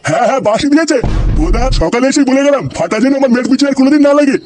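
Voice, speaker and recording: Cartoon angry dad: threatening, profane ranting, piercingly high pitch, rapid-fire, noisy track. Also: male voice, expressive, over music. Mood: furious. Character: enraged, threatening neighbourhood father, Poltu, in comedy cartoon. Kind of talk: crude comedy cartoon voice acting.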